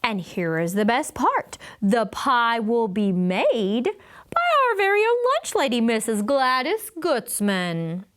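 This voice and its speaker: A woman voicing a weary, patient male first-grade teacher in a children's storybook, measured and explanatory. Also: fairly high pitch, sing-song, fairly bright tone, heavily acted. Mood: pleased. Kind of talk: children's storybook read-aloud.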